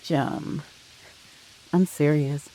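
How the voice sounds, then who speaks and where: A woman playing a devoted, romantic middle-aged husband in an audio drama, speaking softly and earnestly. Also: sits low, steady tone, extremely slow, low-quality recording. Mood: gently coaxing. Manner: soft, earnest, intimate.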